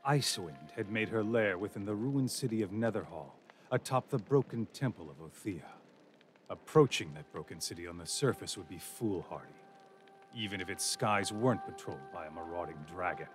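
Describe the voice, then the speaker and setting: A man giving grave, measured scripted narration for a fantasy game. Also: low voice, animated, over music.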